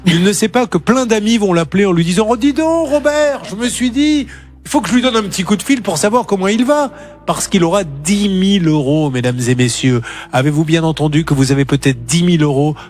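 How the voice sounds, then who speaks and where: A man hosting a live consumer-help radio show, animated and joking, talking through a head cold with a runny nose. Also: sits high, soft background music. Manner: animated, quick to interrupt, joking. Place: radio studio.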